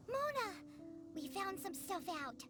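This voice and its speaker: A woman voicing a tiny, childlike, curious fairy companion with a squeaky voice in an anime-style video game. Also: sing-song, measured pace, exaggerated voice. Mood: worried, urgent. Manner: speaks of herself in third person. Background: game music.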